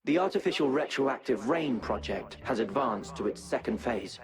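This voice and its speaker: An actor playing an obsessed, embittered research scientist in a video game, earnest and intense. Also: male voice, mid-range voice, lively intonation, normal speed, natural voice. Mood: troubled. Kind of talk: dramatic video game voice acting. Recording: loud music behind the voice.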